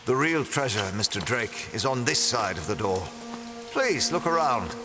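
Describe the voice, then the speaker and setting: A man's voice: mid-range voice, lively intonation, moderate pace, music in the background, lightly acted. A man playing a smug, theatrical villain in an audio drama, pompous and gloating with mock courtesy. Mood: sardonic menace. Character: smug, theatrical male villain, wealthy treasure collector.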